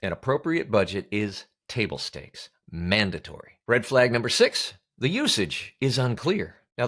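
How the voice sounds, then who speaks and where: Low-voiced, animated man coaching. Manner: emphatic, blunt, colloquial. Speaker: voice-over coach hosting an advice show. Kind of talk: scripted instructional talk to camera.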